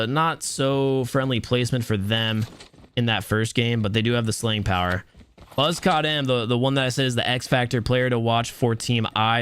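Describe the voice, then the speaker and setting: Young guy casting a phone shooter tourney on stream, chill soothing voice, mid pitch, chats with viewers. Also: a little hiss or hum. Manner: relaxed, soothing tone; casual, talks to chat, many restarts. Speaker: esports tournament caster. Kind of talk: live stream esports commentary.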